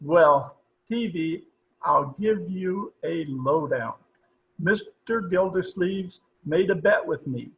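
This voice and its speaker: High-pitched, cackling old judge. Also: male voice, lively intonation, slow pace, vocal fry, a bit bright, full character voice, poor audio. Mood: gloating, sly. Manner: gloating, cackling, theatrical. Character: cackling, gloating old male judge, sly rival. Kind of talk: 1940s radio comedy acting.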